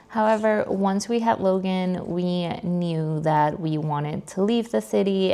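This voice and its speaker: A woman's voice: deep voice, a little mellow. A woman, chatting casually to camera as a vlogger sharing personal updates. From New York. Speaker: vlogger, content creator.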